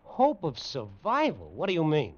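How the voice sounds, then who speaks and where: An actor playing a bewildered human pilot in an old radio drama, halting and incredulous as he questions. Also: a little high, huge pitch swings, a little hiss or hum. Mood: worried, questioning. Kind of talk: 1950s radio science fiction drama acting. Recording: dull old radio recording.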